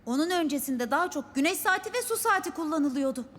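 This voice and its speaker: A woman's voice: high voice, animated, fast talker. A woman voicing a clever, studious child in a children's cartoon, earnestly explaining things. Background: cartoon background music.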